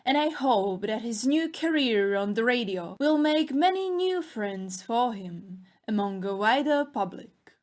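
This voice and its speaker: Woman doing a posh-mannered lady writer, formal radio speech, highish voice, gracious and measured. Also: animated, darkish tone. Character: genteel, gracious lady mystery author giving radio address. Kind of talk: formal scripted radio address.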